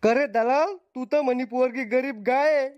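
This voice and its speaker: A woman's voice: sits high, moderate pace, a little mellow, dry recording, dull audio, lightly acted. A woman voicing a grumbling, forthright old village father in a comedy cartoon.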